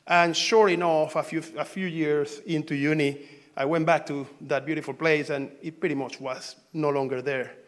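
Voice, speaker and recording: Venezuelan-born man giving a conference talk. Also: fairly high pitch. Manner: conversational, halting, frequent fillers and restarts. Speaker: researcher presenting at a symposium.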